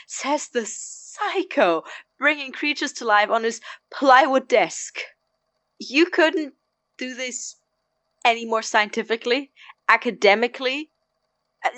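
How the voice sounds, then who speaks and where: A sarcastic, exasperated college lad acted out by a woman in an audio drama, fairly high in pitch. The delivery is biting and dramatic, full of disbelief, and recorded dry. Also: fairly bright tone, no room sound, heavily acted. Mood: incredulous. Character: sarcastic, exasperated young male pre-med student roommate.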